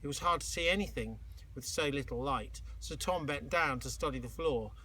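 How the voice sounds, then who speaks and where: A man reading a children's storybook aloud like a teacher, expressively and dramatically, with suspenseful pauses. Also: mid-range voice, sharp tone, slightly rough, rough recording. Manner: dramatic, with exclaimed sound effects and suspenseful pauses.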